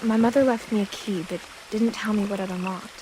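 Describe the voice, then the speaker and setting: A woman playing a reflective seventeen-year-old girl, quietly narrating a story game in measured first person. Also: mid-range voice, steady tone, natural voice. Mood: pensive, subdued. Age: teenager. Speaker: narrator protagonist. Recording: clear outdoor nature sounds with insects. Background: ambient insects and nature noise.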